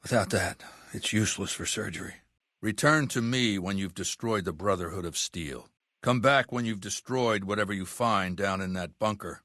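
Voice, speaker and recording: A man playing an aging, imperious warlord dictator in a video game, with a measured, commanding and menacing manner. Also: sits low, fairly bright tone, no room sound, studio quality. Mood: cold, commanding. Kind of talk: video game character dialogue.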